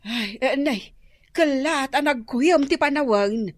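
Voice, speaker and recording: A woman's voice: high-pitched, slow, no echo. A woman acting a pert, grumbling daughter of a village household in an Ilocano radio comedy-drama, with an Ilocano accent. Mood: concerned.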